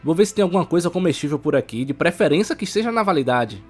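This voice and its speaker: Narrator's take on a carefree young man, casual, animated, slightly lifted pitch, audio story, music bed. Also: fast talker. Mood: cheerful, teasing. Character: easygoing, upbeat brother, carefree and practical young man. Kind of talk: audiobook character acting.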